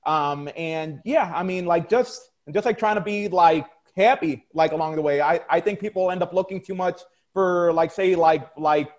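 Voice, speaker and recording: Young man, high-pitched, hesitant, lots of fillers. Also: moderate pace, hardly any pauses. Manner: hesitant, many fillers and restarts. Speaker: guest, competitive bodybuilder. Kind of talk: casual interview chat.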